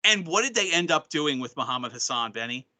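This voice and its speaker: A man with a very bright, fairly high voice, talking over the history of wrestling gimmicks. He rambles, restarts his sentences and keeps clearing a slightly hoarse throat. It sounds like loose, casual conversation between co-hosts. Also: big pitch swings, a little fast. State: losing his voice. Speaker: wrestling podcast co-host. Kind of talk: casual chat.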